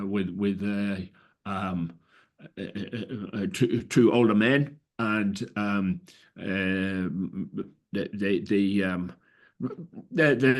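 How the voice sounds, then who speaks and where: A middle-aged Irish man, a festival director, answering interview questions in a hesitant way, with many fillers and restarts. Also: fairly low pitch. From Ireland.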